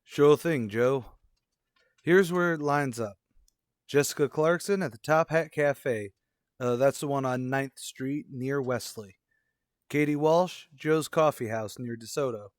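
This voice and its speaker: A man's voice: mid-range voice, unhurried, no echo, natural voice. Man playing a plain-spoken police partner, dry sound. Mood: businesslike. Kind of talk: old-time radio crime drama dialogue.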